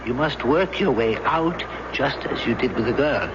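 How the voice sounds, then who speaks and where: An actor playing a calm, worldly European man in an old radio drama, reassuring and philosophical in a measured way. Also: mid-range voice, natural voice. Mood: firm, wry. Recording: muffled radio drama tape with very loud noise.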